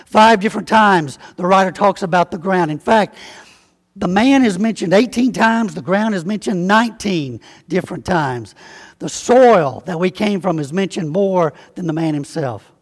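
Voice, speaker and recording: A man from Alabama with a Southern accent, preaching a sermon in a folksy, conversational way and slowing down to stress key words. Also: high voice, lively intonation, husky. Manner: folksy, conversational preaching with slow emphatic spelling-out. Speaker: pastor. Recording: long hall reverb.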